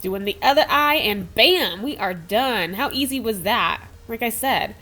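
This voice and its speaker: A woman's voice: a little high, expressive, unhurried, a bit bright, soft background music. Chatty young woman, a bit nasal. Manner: chatty and upbeat, lots of "like" and "super". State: slightly nasal from a cold. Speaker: beauty vlogger. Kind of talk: casual makeup tutorial chat. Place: small room at home with a sparkly backdrop.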